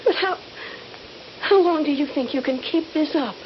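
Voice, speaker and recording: A woman's voice: high-pitched, normal speed, close to an everyday voice. An actress playing a frightened young woman held prisoner in a radio drama, hesitant and stammering. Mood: anxious, pleading. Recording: muffled, hissy 1970s radio recording.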